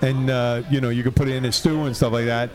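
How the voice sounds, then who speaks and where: A man's voice: mid-range voice, little pitch movement, fast, a crowd in the background. A man hosting a casual podcast, rambling and laughing often, trailing off mid-sentence. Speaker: podcast host. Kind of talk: casual banter.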